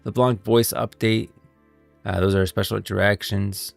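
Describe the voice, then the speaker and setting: Male streamer, low voice with gravelly fry, musing lore commentary, dry close-mic sound, faint music behind. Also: level tone, normal speed. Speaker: streamer and host of a game lore show. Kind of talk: casual livestream commentary, partly reading aloud.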